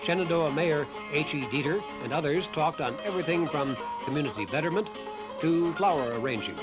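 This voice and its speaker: A man's voice: on the high side, brisk, loud music behind the voice, poor audio. A man reading scripted documentary narration as the narrator of a history program.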